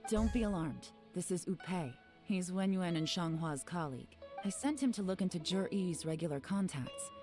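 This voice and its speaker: A cool, calculating female spymaster acted by a woman with a low, mellow voice. The speech is measured and self-assured game dialogue over faint music. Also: lively intonation, natural voice. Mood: probing. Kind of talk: video game voice acting.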